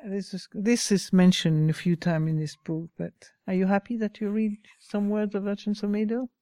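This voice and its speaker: A woman with a French accent giving a slow, pausing dharma talk as a meditation teacher. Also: medium pitch, fairly even intonation, dark tone, no room sound, clean studio sound.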